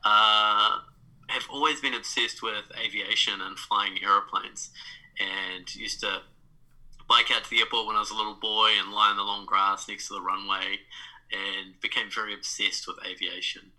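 A man's voice: lowish voice, steady tone, moderate pace, sharp tone, poor audio. A man in his thirties with a New Zealand accent, talking as a podcast guest, reflective, with many fillers and restarts. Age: 30s. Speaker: guest, life coach. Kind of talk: casual podcast interview.

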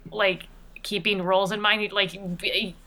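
Bright, clear-voiced woman chatting casually. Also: mid-range voice, little pitch movement, moderate pace. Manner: chatty, playful. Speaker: podcast regular and voice performer being interviewed. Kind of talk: casual interview chat.

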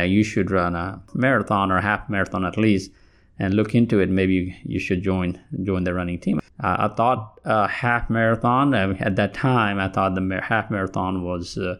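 Host, male, 50s, Nepali accent with a Southern US lilt, low voice, halting solo podcast delivery. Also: clean tone. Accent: Nepali with a Southern US tinge. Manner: halting, many restarts and fillers.

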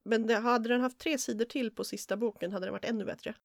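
A woman co-hosting a podcast, giving lively opinions on books in casual chat. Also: on the high side, fast, creaky, no room sound. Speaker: podcast co-host. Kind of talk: casual book discussion.

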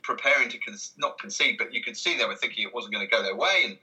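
Man, very bright voice, hesitant rambling talk. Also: medium pitch, fast talker, poor audio. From Britain. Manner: hesitant, rambling, self-interrupting delivery. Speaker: interview guest, comedy writer. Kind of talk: casual conversational interview.

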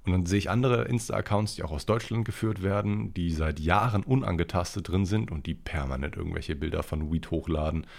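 Young man, from the Ruhr area, very low pitch, congested nasal tone, blunt slangy chat. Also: a little fast, gravelly, hardly any pauses. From Ruhr area, Germany. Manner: rambling, colloquial, blunt slang. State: congested, slightly nasal from a cold. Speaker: podcast host. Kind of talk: casual solo podcast chat.

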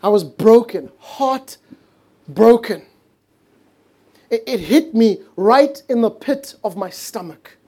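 A mellow, warm-toned man preaching a heartfelt sermon. He stretches out important words and sinks into soft, hushed moments for emphasis. English is a later-learned language for him. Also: high-pitched, lively intonation. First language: not English. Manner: earnest, stretches key words slowly, drops to a hush for emphasis. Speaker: pastor.

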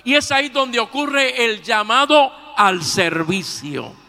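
High, bright Puerto Rican preacher in his 60s. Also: animated, slow pace. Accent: Puerto Rican Spanish. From Puerto Rico. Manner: preaching with sudden shouted peaks and drops to a soft, low register; repeats a stock praise phrase between sentences. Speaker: pastor. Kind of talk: sermon. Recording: long hall reverb.

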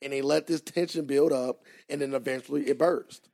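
Gravelly young man with a drawl. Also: medium pitch, no echo. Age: 20s. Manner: animated, laughs often, drawn-out emphatic points. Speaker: podcast co-host. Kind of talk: casual podcast chat.

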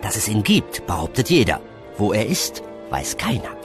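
Pedantic, very animated research assistant. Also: male voice, fairly low pitch, normal speed, over music. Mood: smug lecturing. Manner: lecturing, pedantic. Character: know-it-all male heavenly research assistant, earnest, pedantic, squabbling. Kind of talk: comedy radio play acting.

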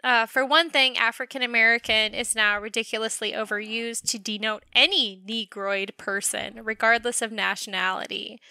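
A woman's voice: a little high, steady tone, moderate pace, hardly any pauses, pushed and bright, no room sound. A woman co-hosting a casual discussion, sardonic and emphatic, who swears freely. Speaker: podcast co-host.

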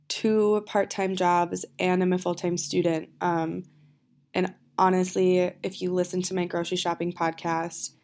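A woman's voice: fairly low pitch, darkish tone. A young woman, a little sniffly with allergies, chatting casually and informally as she hosts a solo podcast. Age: young adult. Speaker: podcast host and student.

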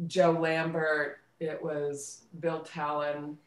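A middle-aged woman in a conversational interview, recalling things haltingly and repeating words as she thinks. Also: low-pitched, level tone. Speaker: guest, theater director and actress. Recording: roomy, echoing voice pickup.

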